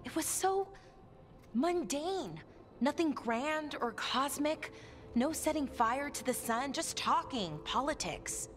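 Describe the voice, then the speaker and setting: A woman's voice: sits high, animated, takes it slowly. A woman playing a disillusioned young elven scout in a fantasy game, talking frankly, informally and expressively. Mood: disappointed. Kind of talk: conversational fantasy game acting. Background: faint music.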